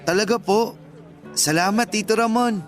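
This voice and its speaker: A man's voice: high-pitched, moderate pace, soft background music, natural voice. A man acting a polite, dutiful young adult son in a radio drama. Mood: respectful.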